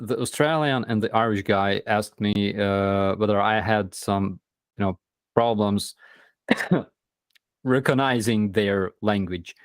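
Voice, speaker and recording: A man telling a story in casual chat, halting with many ums and restarts. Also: lowish voice, smooth, no room sound.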